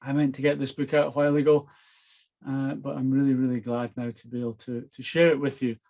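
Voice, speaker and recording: A man's voice: mid-range voice, flat delivery, brisk, creaky, a little mellow. A Scottish man, an author, chatting and reading aloud from his own novel. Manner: enthusiastic, chatty.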